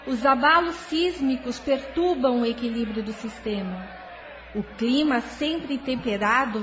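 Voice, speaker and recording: A woman's voice: on the high side, a little hiss or hum, low-quality recording. A woman playing a noble, concerned councillor of an alien world, speaking formally and with measure in an audio drama. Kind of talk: spiritist audio drama acting.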